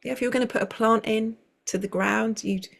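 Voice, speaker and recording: A woman's voice: a little high, steady tone, brisk, creaky. A woman, a circle facilitator, speaking as a guest over a video call in a flowing, rambling way with many restarts. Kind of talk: conversational interview.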